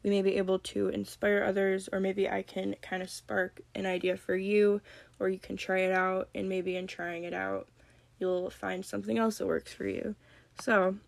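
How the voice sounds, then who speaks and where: A young woman with a slight cold hosting a solo podcast, talking calmly and gently. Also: medium pitch, creaky, no room sound. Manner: calm, gentle, reflective. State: has a cold. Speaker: podcast host. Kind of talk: solo podcast talk on mental health.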